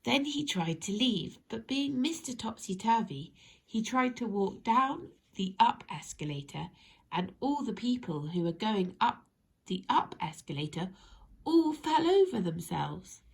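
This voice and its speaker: Animated, husky woman reading a storybook. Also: mid-range voice, rough recording. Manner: addresses young listeners directly, measured phrasing. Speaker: storybook reader. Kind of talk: expressive children's story narration.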